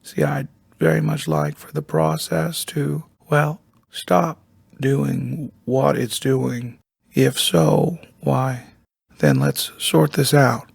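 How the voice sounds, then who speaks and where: An actor playing a weary, eccentric, soft-spoken intellectual man in a video game, halting and musing, with a raspy, breathy voice, often repeating himself. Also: animated, no echo, clean studio sound, heavily acted. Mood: awkward, civil. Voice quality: raspy, breathy, gravelly low voice. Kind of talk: video game character dialogue.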